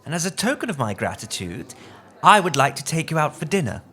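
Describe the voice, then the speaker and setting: Man voicing a smooth, flirtatious rich lady. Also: on the high side, big pitch swings, brisk, fairly bright tone, exaggerated voice. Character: suave, flirtatious wealthy woman customer.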